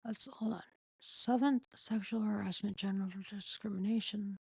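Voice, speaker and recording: A woman with a sore throat and a failing voice, reading a legal filing aloud in a slow, halting way and often stopping to correct herself. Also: mid-range voice, steady tone, gravelly, a little mellow, breathy edge, no echo. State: losing her voice, sore throat. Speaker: streamer reading a court filing. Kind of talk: casual late-night live stream.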